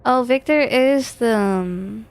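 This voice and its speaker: A woman's voice: on the high side, slow pace, clear, resonant tone. A woman game streamer, moving between reading game narration aloud and casual live chat.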